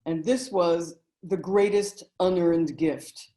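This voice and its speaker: Low-voiced woman, reminiscing guest. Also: takes it slowly. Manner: warm, storytelling reminiscence with frequent "you know". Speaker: guest, author and narrator. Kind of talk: relaxed interview conversation.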